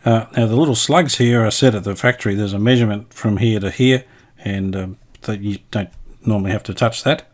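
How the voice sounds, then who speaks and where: A man's voice: lowish voice, brisk. A man giving a casual hands-on repair demonstration, unscripted and thinking aloud as he works. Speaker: host of radio repair video.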